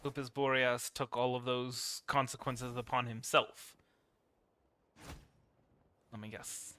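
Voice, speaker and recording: Bright-voiced male game streamer. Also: mid-range voice, little pitch movement, takes it slowly, clean tone. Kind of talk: casual live gameplay commentary.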